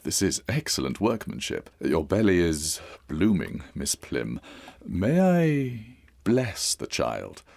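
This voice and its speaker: Very deep, creaky, leering reverend. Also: male voice, big pitch swings, a little mellow, no echo, clean studio sound, full character voice. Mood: creepy admiration. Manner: slow, lingering, unctuous. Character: leering male reverend, slow and creepily admiring. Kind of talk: period radio drama acting.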